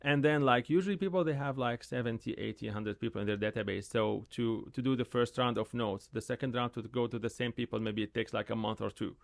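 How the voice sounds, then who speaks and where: A man in his thirties, a real estate agent whose first language is Arabic, telling his story in a casual interview in halting, repetitive English that livens up when he tells a story. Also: medium pitch, gravelly. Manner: halting, repeats words, animated when telling stories.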